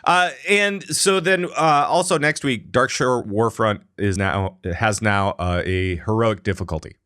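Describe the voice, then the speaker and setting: A man's voice: mid-range voice, a little slow, fairly bright tone, clean tone, no echo, studio quality. A man hosting a podcast, chatting casually about a game and rambling with many fillers and restarts. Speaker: podcast host. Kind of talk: casual gaming chat.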